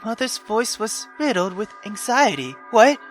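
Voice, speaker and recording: A woman reading a visual novel aloud as a gamer, dramatic and expressive, breaking off into exclamatory, sweary asides. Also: a little high, unhurried. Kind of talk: dramatic reading with reaction commentary. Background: game music.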